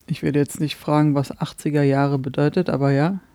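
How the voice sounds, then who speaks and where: A man's voice: on the high side, fairly even intonation, a little fast, mellow, clear, resonant tone, dry recording, clean studio sound. A man co-hosting a podcast in casual, joking, rambling banter. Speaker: podcast co-host.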